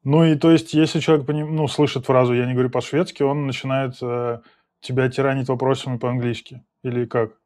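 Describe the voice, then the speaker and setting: A man's voice: mid-range voice, little pitch movement, fast, a little mellow. A man in his thirties hosting a casual video call chat from a small room at home, laid-back and slangy, laughing easily. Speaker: podcast host.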